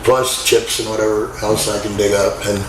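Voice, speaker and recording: Older male guest, folksy and self-mocking, lowish voice, echoey room with background noise. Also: little pitch movement, normal speed. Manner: folksy, self-deprecating joker. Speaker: food pantry organizer, guest. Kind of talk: casual chat.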